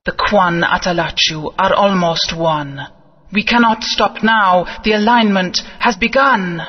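An actor playing an ancient godlike spirit, speaking in slow solemn proclamations. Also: male voice, high-pitched, husky. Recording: dull game audio with long reverb.

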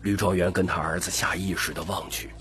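A man with a gravelly, husky voice narrating an audiobook story expressively. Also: deep voice, little pitch movement, brisk, fairly bright tone, faint music behind.

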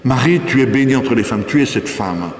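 A man's voice: medium pitch, expressive, fairly quick. Older french guy, priest w france accent giving a teaching sermon, emphatic, super echoey church with long reverb. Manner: didactic, rhetorical questions, emphatic repetition. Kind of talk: spoken sermon, teaching a congregation. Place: inside a church, before an altar. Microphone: microphone on a stand, close to the mouth.

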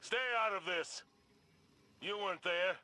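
An actor playing a stern, embittered older military commander in a video game, speaking gravely and argumentatively. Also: male voice, sits high, measured pace, pushed and bright, full character voice, poor audio. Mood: angry, accusing. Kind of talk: dramatic video game acting.

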